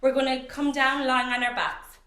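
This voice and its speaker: Croaky woman counting through Pilates. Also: high-pitched, little pitch movement, normal speed, sharp tone, very echoey, far from the mic. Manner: encouraging counts with frequent praise. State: croaky dry voice. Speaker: Pilates instructor. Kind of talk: cueing a workout class.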